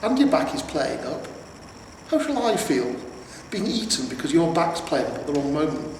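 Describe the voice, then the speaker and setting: A man's voice: a little high, big pitch swings, normal speed, distant mic. A man voicing a nagging, cautious talking horse in a fantasy storybook reading. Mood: worried nagging. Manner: nagging, questioning. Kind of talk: acted character dialogue in a fantasy storybook reading. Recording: very echoey reverberant room, faint noise.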